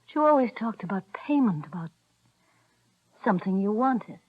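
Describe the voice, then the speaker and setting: A woman's voice: medium pitch, animated, moderate pace, no room sound, lightly acted. An actress playing an earnest young woman in love in a 1940s radio thriller. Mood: earnest, tender. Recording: muffled old radio broadcast.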